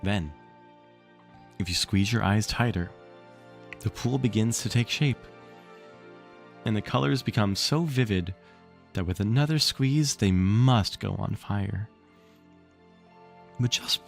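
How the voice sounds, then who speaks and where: A man reading a children's story aloud on a livestream in an expressive, theatrical way, with casual asides to the chat. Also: on the low side.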